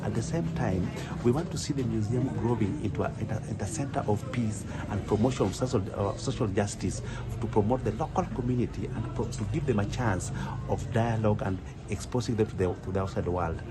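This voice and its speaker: A man with a Kenyan accent giving a guest presentation, haltingly, often repeating and rephrasing his words. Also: on the low side, over loud music, poor audio. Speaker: guest speaker, museum curator. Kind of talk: presentation on a live online event.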